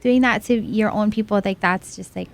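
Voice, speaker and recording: A woman from O'ahu, speaking as a guest on a casual podcast. Her voice sits at an ordinary middle pitch for a woman. She talks in a chatty, loose way, threading her thoughts with "like" and "you know". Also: moderate pace, vocal fry. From O'ahu, Hawaii. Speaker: guest, small business owner. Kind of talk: casual conversational interview.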